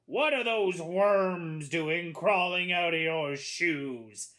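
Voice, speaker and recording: A woman's voice: fairly low pitch, takes it slowly, fairly bright tone, voice pushed up. A woman voicing jeering young bullies in a storybook with a taunting drawl. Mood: mocking.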